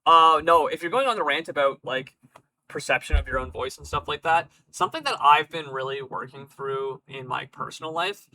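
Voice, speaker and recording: A man hosting a live stream, slightly hoarse, talking casually and thoughtfully with many fillers. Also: on the high side, moderate pace, sharp tone, rough recording. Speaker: stream host.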